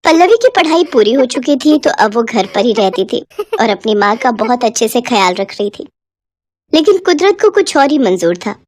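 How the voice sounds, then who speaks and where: A woman narrates a scripted story in a high-pitched voice. The recording is dry and close, with no room sound. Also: very animated, moderate pace, a little mellow, dry recording.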